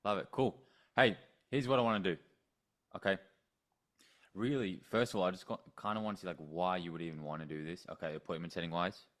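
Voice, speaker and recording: Very low-pitched man, casual and informal. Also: fairly even intonation, a little fast. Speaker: coach taking sales calls. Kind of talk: casual sales conversation.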